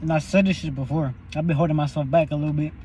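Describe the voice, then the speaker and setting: Rambling young man, higher-pitched voice. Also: fairly even intonation, rapid-fire, mellow, slight background noise. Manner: loose, rambling and profane while chewing. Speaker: vlogger. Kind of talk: casual eating vlog monologue. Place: in a parked car at a drive-in.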